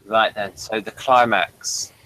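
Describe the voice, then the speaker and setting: A man's voice: on the low side, fairly even intonation, takes it slowly, bright, forceful tone, rough recording. A man hosting a review podcast in casual conversation, with a questioning, tentative way of talking.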